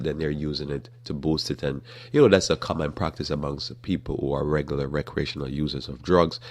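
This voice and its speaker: A man hosting a live talk radio program, reading aloud and commenting in a halting way with many fillers and self-corrections. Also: rumbling low. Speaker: radio talk show host.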